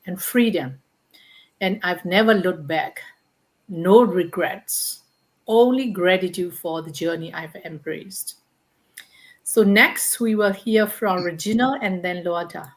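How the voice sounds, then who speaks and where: A woman's voice: fairly low pitch, takes it slowly, rough recording. A woman hosting an online panel talk, introducing speakers and handing over to them. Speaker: host of a business group session.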